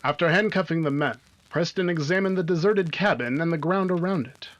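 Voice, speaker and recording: A man's voice: a little high, a little fast, lo-fi sound, a little hiss or hum, close to an everyday voice. A man playing the announcer of an old-time radio adventure, narrating with urgent, emphatic drama. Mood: suspenseful.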